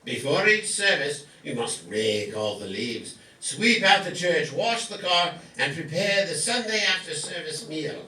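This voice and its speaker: A man's voice: on the high side, animated, a little slow, few pauses, pushed and bright. A man acting a genteel, stern but charitable vicar in an amateur radio play. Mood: firm, reproving. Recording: roomy, distant live stage recording.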